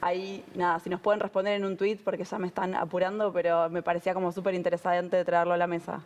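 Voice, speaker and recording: A woman's voice: fairly low pitch, level tone, fast, lo-fi sound. A woman moderating a conference panel, reading out audience questions in an upbeat, chatty way.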